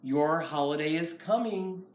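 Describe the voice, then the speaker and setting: A man voicing a patient, reassuring old grandfather in a children's storybook, gentle and encouraging. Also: a little high, unhurried, natural voice. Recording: roomy, far from mic, faint noise.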